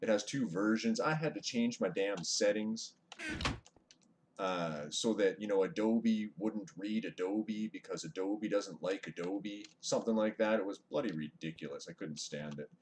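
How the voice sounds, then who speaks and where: Male live streamer, deep voice, chatty, greets chat mid-game; webcam mic, faint hiss. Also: normal speed. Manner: chatty, greets viewers, mutters over gameplay. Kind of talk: casual live-stream chat while gaming. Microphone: webcam microphone, away from mouth.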